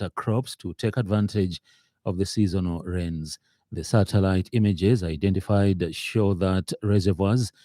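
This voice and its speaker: A man with a Zambian accent reading a scripted radio news bulletin in a formal, somewhat halting manner. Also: deep voice, mellow, no echo, studio quality. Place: radio studio.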